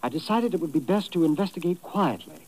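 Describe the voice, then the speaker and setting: A man's voice: high-pitched, animated, fast talker, a little mellow, poor audio. An actor playing a worried, polite immigrant businessman in a 1950s radio drama, speaking formally with hesitant stammers. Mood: anxious, hesitant. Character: anxious, polite immigrant businessman, victim of extortion.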